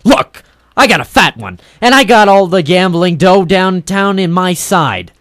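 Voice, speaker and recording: Tough cynical 40s radio detective, clipped wisecracks, dry close sound. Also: male voice, high-pitched, sing-song, unhurried, fairly bright tone, dry recording, full character voice. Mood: combative, sardonic. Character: hard-boiled, wisecracking male private eye of the waterfront. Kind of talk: 1940s radio noir acting and narration.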